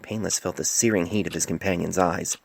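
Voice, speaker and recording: A man's voice: low-pitched, steady tone, a little fast, creaky, no room sound. A man reading scripted story narration as an amateur narrator, recorded close on a cell phone mic. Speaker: amateur narrator reading own fan fiction.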